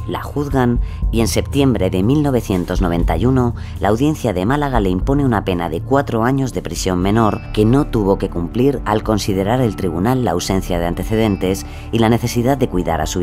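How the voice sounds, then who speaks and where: A man's voice: fairly low pitch, a little mellow. A man narrating a scripted true-crime audio documentary. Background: music.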